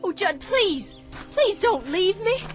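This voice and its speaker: An actress playing a young farm wife in a radio drama, talking emotionally and pleadingly with halting restarts. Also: female voice, very high voice, big pitch swings, music in the background, heavily acted.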